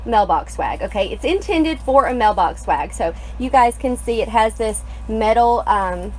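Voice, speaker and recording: A young woman co-hosting a live craft tutorial in an indoor studio, upbeat and a little hoarse, greeting viewers by name. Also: on the high side, expressive, normal speed, slight background noise, rough recording. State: slightly hoarse, losing her voice. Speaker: co-host, craft designer.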